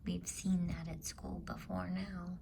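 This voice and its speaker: Woman whispering as a stern older brother, slow. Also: on the low side, level tone, creaky, warm tone, a little hiss or hum, heavily acted. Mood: reproachful. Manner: reproachful, firm. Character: stern, protective older brother, scolding boy. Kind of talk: whispered storybook reading.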